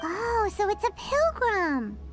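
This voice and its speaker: Game fairy companion: extremely high, childlike, animated, teasing, with music behind. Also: female voice, slow pace, heavily acted. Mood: curious, teasing. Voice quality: squeaky, childlike. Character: small chirpy floating fairy companion, cheeky and loyal. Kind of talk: animated video game acting.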